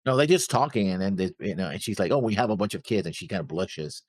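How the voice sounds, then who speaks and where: A man with a fairly low voice that swings widely in pitch. He co-hosts in casual banter, rambling through halting explanations, recorded close and dry. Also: lively intonation, dry recording. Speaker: reaction show co-host.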